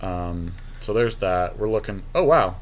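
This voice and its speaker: Low-voiced man thinking aloud, slight background noise. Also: lively intonation, a little slow. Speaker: podcast co-host. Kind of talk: casual chat, thinking aloud through a card game draft.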